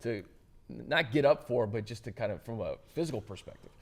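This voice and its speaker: A man's voice: mid-range voice, animated, a little fast, vocal fry. A man hosting a sports talk show in a conversational style, setting up questions for his fellow panelists.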